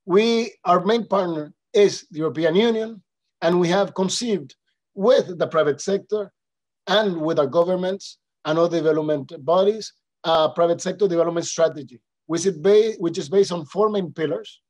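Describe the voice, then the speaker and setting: A man with a Spanish accent, from the Dominican Republic, presents in a webinar. His voice sits a little higher than most men's. He talks politely and hesitantly, with fillers and false starts. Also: normal speed. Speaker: panelist representing an international organization.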